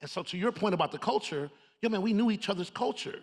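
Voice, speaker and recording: A man's voice: high-pitched, animated, fast talker. A middle-aged man from Harlem, moderating a stage talk in loose street slang. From Harlem, New York, USA. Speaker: moderator, marketing leader. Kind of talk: casual stage conversation. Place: stage panel before a live audience.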